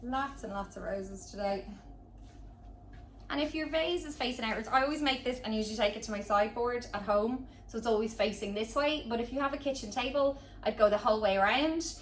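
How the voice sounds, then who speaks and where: A woman's voice: on the high side, brisk. A woman giving a chatty, informal hands-on tutorial as a florist, talking casually as she demonstrates. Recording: roomy echo, distant mic, background noise.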